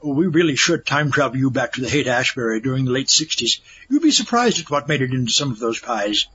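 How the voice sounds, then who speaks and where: A man's voice: medium pitch, expressive, fast, dull audio, background noise, natural voice. A man playing a fussy, eccentric mad scientist doctor in a comedy audio drama, with pompous delivery. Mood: blithe, pompous.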